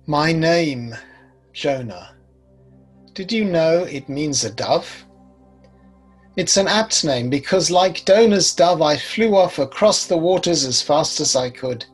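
A man in his sixties playing an older, rueful prophet recalling his past in a measured, reflective dramatic monologue. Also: a little high, lively intonation, husky, soft background music, exaggerated voice. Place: home study, indoors. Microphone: video call.

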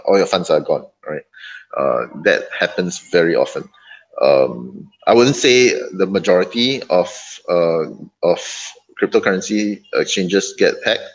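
Low-pitched middle-aged man explaining. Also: poor audio. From Singapore. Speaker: webinar speaker, crypto advisor. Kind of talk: informal webinar talk. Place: indoor office room at night, by large windows. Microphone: gaming headset.

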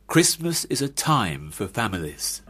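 An actor playing an older father, head of the family, in a radio play, with measured, declamatory delivery. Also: lowish voice, sing-song, bright, forceful tone, full character voice. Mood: pleased.

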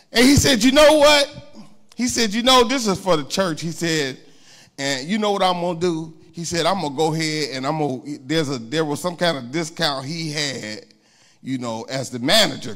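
A man's voice: fairly high pitch, fairly bright tone. A middle-aged man preaching in a church with a handheld microphone, chatting with the congregation in a conversational sermon. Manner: conversational preaching with call-and-response asides. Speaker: pastor. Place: church sanctuary, indoors.

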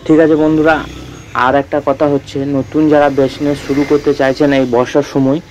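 A man's voice: a little high, little pitch movement, brisk, a little mellow, slight background noise. A man from West Bengal, a spice trader chatting casually as he tours a market and gives viewers advice, with frequent restarts. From West Bengal, India. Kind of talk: casual market-tour vlog.

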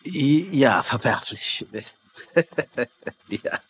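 An awkward police investigator in a TV mystery. His medium-pitched voice is hesitant but official, with faint noise behind. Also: expressive, extremely slow, fairly bright tone. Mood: awkward, flattered. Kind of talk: dubbed TV crime drama acting. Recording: faint background noise and ambience.

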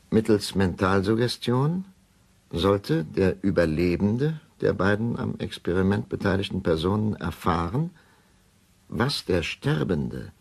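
An actor playing a grave male doctor giving a formal, measured account in a radio play. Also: sits low, vocal fry, exaggerated voice.

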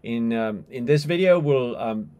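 Male tutorial presenter, 40s, mid-range voice; informal, hesitant, thinks out loud while demonstrating. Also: mid-range voice, lively intonation, measured pace. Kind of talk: unscripted software and hardware demonstration. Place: small indoor room with skylight window.

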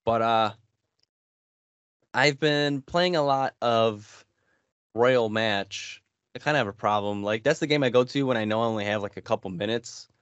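A man's voice: mid-range voice, normal speed, a bit bright, smooth, no room sound. An American man in his thirties, a podcast co-host chatting casually about games. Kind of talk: casual gaming chat. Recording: slightly dull, band-limited, dry sound.

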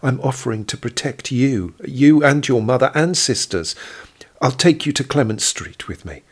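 Man voicing an earnest, pleading lovestruck youth, husky. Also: mid-range voice, lively intonation, moderate pace, pitched above the performer's own voice, lightly acted.

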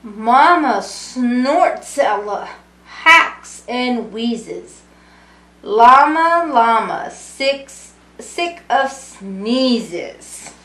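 A woman's voice: fairly high pitch, expressive, drawn-out words, fairly bright tone. A middle-aged woman reading a rhyming picture book aloud to young children in a singsong way, with little explanatory asides. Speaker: storybook reader, early childhood education student. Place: small indoor room with brick wall. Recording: roomy, echoing home recording.

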